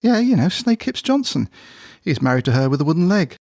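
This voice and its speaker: An earnest, gossipy bloke played by a man in a comic audio drama. His pitch moves around a lot under deadpan, incredulous banter, in a close, dry recording. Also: fairly quick, dry recording. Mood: earnest storytelling. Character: matter-of-fact, gossipy male pub friend, comically earnest. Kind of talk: audio drama comedy dialogue.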